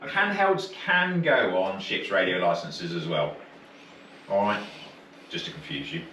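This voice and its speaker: A man's voice: deep voice, wildly swooping pitch, unhurried, bright, forceful tone. A British man working as an instructor, teaching informally and explaining step by step with short checking questions. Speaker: marine radio course instructor. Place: indoor training room. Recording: roomy, distant mic, faint noise.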